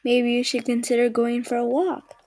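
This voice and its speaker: Woman acting a higher-pitched, coaxing mother. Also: little pitch movement, moderate pace. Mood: coaxing, chiding. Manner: gently chiding, coaxing. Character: patient, nudging mother of a sulky teenager. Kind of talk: naturalistic audio drama acting.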